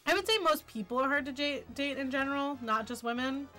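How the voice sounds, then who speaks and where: A woman's voice: high-pitched, brisk, bright, forceful tone. A young woman from the US East Coast, a little tipsy, chatting and swearing often as a guest on a casual gaming stream at a home desk mic. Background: game music.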